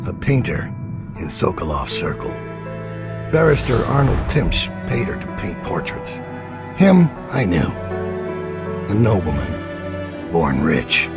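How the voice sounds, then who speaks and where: Cold assassin narrator, deep gravelly, terse. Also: male voice, heavily acted. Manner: terse and menacing. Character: grim male master assassin, cold and calculating. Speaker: narrator and interrogator.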